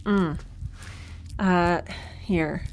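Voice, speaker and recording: An actress playing a flustered but caring woman improvising as medic, halting yet taking charge, in an audio drama. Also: lowish voice, a little hiss or hum. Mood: tense, focused. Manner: hesitant, halting, takes charge. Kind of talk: naturalistic audio drama acting.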